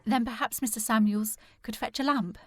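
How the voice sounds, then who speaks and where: Genteel period heroine played by a woman, English, determined, formal and clipped, voice a touch high. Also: fairly even intonation, moderate pace, no echo, lightly acted. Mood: firm, determined. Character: determined, genteel young lady in a period mystery. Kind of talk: period audio drama acting.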